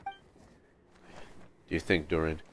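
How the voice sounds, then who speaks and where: A man's voice: rumbling low, steady tone, extremely slow, slight background noise. A man giving casual livestream game commentary in musing, sparse remarks with thoughtful hums. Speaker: livestream gamer.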